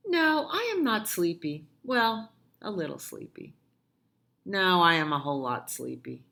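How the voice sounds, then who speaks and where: An eager, playful storybook child acted out by a woman. The delivery is emphatic and contrary, with big swings of pitch and favourite words stretched out slowly. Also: very animated, creaky, pushed and bright, heavily acted. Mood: sleepy, insistent. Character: eager, insistent, playful young child full of likes. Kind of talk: picture-book dialogue read aloud.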